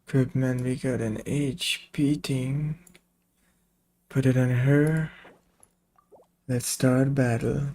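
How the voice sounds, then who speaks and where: A man's voice: medium pitch, steady tone, slow pace, long pauses, dark tone, slight background noise. A man giving casual gameplay commentary, muttering short remarks to himself as he plays. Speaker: game streamer.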